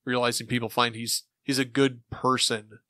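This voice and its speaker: Casting: male co-host, average pitch, bright forward tone, informal conversational podcast style, dry sound. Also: flat delivery, normal speed, dry recording. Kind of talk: casual podcast discussion.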